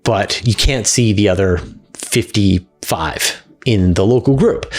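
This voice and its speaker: A man's voice: on the low side, darkish tone. Man casually explaining as show host. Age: middle-aged. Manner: conversational, explanatory, emphasizing key words. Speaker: host of a space science question show. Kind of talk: casual explainer answering audience questions.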